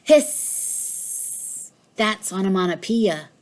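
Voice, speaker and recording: A woman's voice: medium pitch, very animated, drawn-out words. A woman voicing a smug, mischievous pet cat in a storybook, with a boastful, emphatic delivery. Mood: haughty. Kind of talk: storybook read-aloud.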